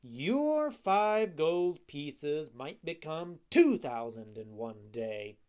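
A reader voicing a sly, smooth-talking fox swindler in an audiobook, persuasive and coaxing. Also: medium pitch, sing-song, drawn-out words, a little mellow, highs cut off, voice pushed up. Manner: persuasive, coaxing, mock-offended. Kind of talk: audiobook reading.

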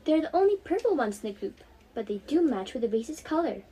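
A woman's voice: high-pitched, big pitch swings, brisk, dark tone, breathy edge, pitched above the performer's own voice, full character voice. A teenage young woman voicing a kindly, patient aunt who teaches, in a storybook reading. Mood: explaining. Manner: patient, explanatory, fond.

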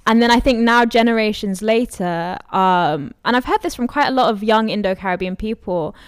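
A woman's voice: medium pitch, normal speed, clear, resonant tone, no room sound. Woman interviewer, dry close sound. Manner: conversational, uses like and fillers. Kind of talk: casual interview talk.

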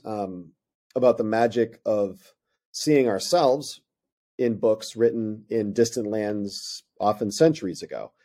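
A man's voice: mid-range voice, warm tone. A man in his thirties with a Northern US accent, an English teacher and podcast co-host talking earnestly and restarting his phrases. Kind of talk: reflective monologue.

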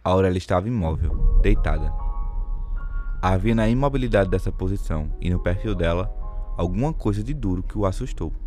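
A Brazilian man narrating a radio soap opera in a scripted, dramatic storytelling style. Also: deep voice.

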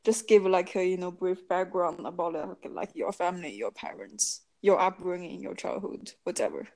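A woman's voice: on the low side, moderate pace, creaky, darkish tone. A woman co-hosting a podcast, talking casually with many fillers like "you know" and "like". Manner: chatty, heavy on "like" and "you know". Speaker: podcast co-host. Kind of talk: casual chat.

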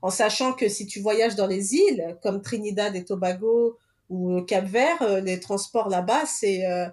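A woman co-host in casual conversation, calmly explaining travel habits with examples. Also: mid-range voice, measured pace. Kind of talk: casual podcast chat.

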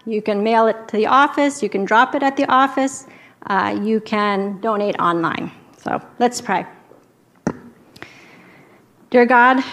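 A woman announcing the ways to give at a church service and then leading a short offering prayer. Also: fairly high pitch. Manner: plain, practical, then prayerful.

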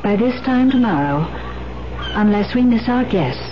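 A woman's voice: fairly high pitch, expressive, a little slow. A woman playing an urgent, persuasive but guarded police detective in an old-time radio drama. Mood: explaining, firm. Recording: muffled, noisy old radio broadcast recording.

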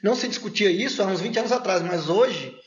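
High-voiced Brazilian host in an echoey room. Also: male voice, brisk. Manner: agreeable, chiming in with short replies. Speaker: podcast host. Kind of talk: casual interview chat. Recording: echoey room, dull lo-fi sound.

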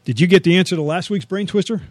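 A man's voice: sits high, quick pace, a little mellow. A man co-hosting a comic radio show, trading scripted banter in a jokey, self-deprecating way.

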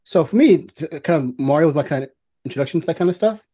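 A man's voice: on the high side, lively intonation, quick pace, dark tone. A middle-aged man from New York chatting casually as a podcast co-host, rambling, repeating words and swearing freely. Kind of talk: casual film chat.

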